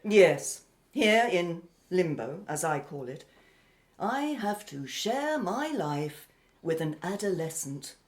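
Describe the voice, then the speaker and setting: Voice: medium pitch, sing-song, slow. An actor playing a precise, haughty, educated foreign exile in a radio play, with formal, pedantic phrasing.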